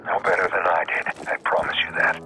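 An actor playing an ominous renegade colonel in a video game, speaking over a radio in an oratorical, philosophical manner. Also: male voice, low-pitched, level tone, pushed and bright, rough recording. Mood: cold, solemn. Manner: deliberate, pompous, oratorical. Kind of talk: dramatic video game acting. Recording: radio transmission with faint noise.

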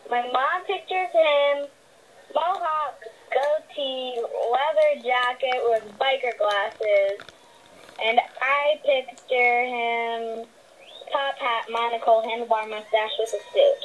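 A woman's voice: a little high, steady tone, slow, rough recording. A young girl leaving a question for a radio show as a phone-in caller. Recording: phone message replayed, some noise.

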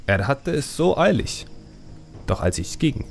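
Man voicing an earnest, gravelly young troll. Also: medium pitch, very animated, a little slow, soft background music, pitched above the performer's own voice. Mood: troubled confiding. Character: young male troll, earnest, thoughtful strategist. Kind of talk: audiobook character acting.

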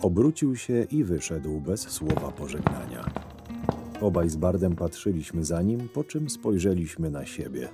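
A man playing a curt, irritable convict guard who narrates his own story in a sardonic, gruff way in an audio drama. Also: sits low, big pitch swings, unhurried, over music, full character voice. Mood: weary, sardonic. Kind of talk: first-person audio drama narration with dialogue.

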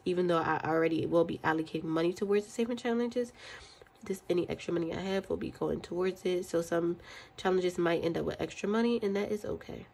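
Young woman kinda stuffy from a cold, rambling chatty vlog talk, bit low voiced. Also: vocal fry, darkish tone. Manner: chatty, rambling, counts aloud. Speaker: budgeting channel host. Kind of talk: casual chat while counting cash. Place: indoors at a desk.

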